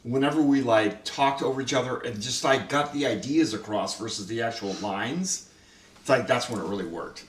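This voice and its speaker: Laughing, animated man in a roomy space. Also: mid-range voice, moderate pace. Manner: animated, laughing often, many fillers. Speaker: host, director of the radio play. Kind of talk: casual roundtable chat. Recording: roomy sound, mic at a distance.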